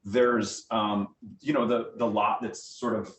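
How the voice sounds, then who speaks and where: An American man with a fairly low voice speaks conversationally as a guest at a meeting, explaining things in everyday terms. He sounds distant from the mic in an echoey room, with faint noise underneath. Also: little pitch movement, takes it slowly. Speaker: guest speaker, theatre director. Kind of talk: informal question-and-answer talk to a small meeting.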